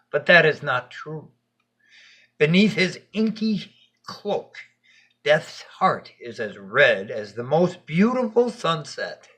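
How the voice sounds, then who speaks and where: A man tells a bedtime story with a husky voice pitched on the high side. His delivery is unhurried and dramatic, with wide swings in pitch. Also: sharp tone. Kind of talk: expressive bedtime story narration.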